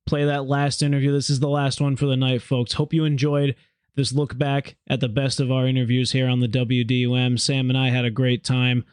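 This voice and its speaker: Even-toned male podcast host. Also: mid-range voice, fairly quick, clear, resonant tone, no echo, clean studio sound. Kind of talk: casual interview hosting.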